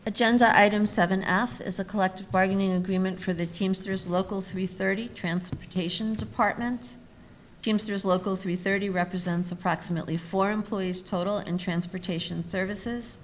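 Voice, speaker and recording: A woman reading out board agenda items in a steady, formal way. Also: medium pitch, moderate pace, a little hiss or hum, low-quality recording. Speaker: university staff presenting agenda items.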